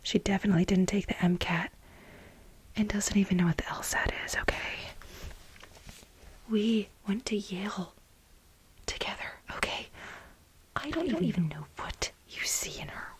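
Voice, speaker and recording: A woman playing an infatuated, jealous young research assistant to her boss in an ASMR role play, breathy, halting and gushing. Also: mid-range voice, animated. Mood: catty, jealous. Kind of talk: soft-spoken whispered ASMR role play.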